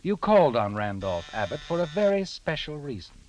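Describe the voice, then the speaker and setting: A man's voice: on the high side, very animated, brisk, dull audio. An actor playing a sinister, all-knowing male mystery narrator, ominous and taunting in old radio style. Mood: sly taunting. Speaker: story narrator. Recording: old radio recording with music behind.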